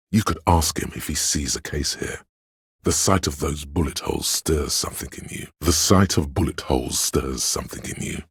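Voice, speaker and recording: A brooding inner voice narrates a detective game. It sits extremely low, with a crackling fry and heavy huskiness. The delivery is measured, solemn and dramatic, like literary scripted narration. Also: male voice, no echo, clean studio sound, heavily acted. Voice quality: very deep, gravelly, husky.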